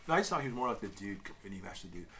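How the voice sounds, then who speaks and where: A man hosting a casual review chat, speaking haltingly and often repeating and correcting himself. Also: lowish voice, very animated, sharp tone, a little hiss or hum. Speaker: host of a review show.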